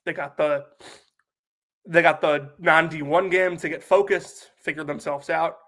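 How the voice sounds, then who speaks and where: A man's voice: a little high, fairly even intonation, unhurried, pushed and bright. A sick young man co-hosting a casual sports podcast, chatty and full of "like" fillers. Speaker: podcast co-host.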